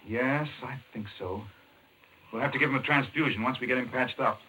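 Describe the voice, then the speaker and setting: An actor playing an earnest, urgent doctor hero in a 1940s radio thriller, speaking in a brisk, intense, clipped way. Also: male voice, medium pitch, bright, forceful tone, husky, dull audio. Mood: urgent, businesslike. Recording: old radio broadcast, faint noise.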